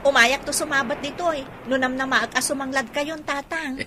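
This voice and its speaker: An actress playing an ambitious, devoted young daughter in an Ilocano Filipino radio drama. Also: female voice, high-pitched, steady tone, normal speed, background noise, lightly acted. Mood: affectionate, eager. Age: young adult.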